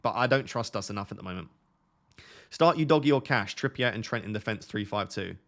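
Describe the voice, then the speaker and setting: A young man hosting a fantasy football live stream, reading viewer questions aloud and answering them in a relaxed, soothing voice. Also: lowish voice, brisk. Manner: self-described relaxing, soothing voice. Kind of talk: casual live stream chat.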